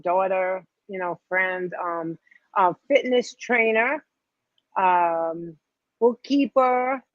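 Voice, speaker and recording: Middle-aged New York woman, interview guest on a video call, very slow and unhurried; dry, dull lo-fi sound. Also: medium pitch, no echo. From Staten Island, New York. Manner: unhurried, trails into fillers and restarts. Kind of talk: casual interview answers.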